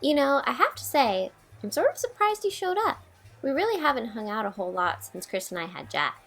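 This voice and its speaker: A woman's voice: fairly high pitch, big pitch swings, brisk, a bit bright, exaggerated voice. A woman playing a peppy, friendly young mother in an audio drama, chatting in a chirpy way. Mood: candid, nostalgic.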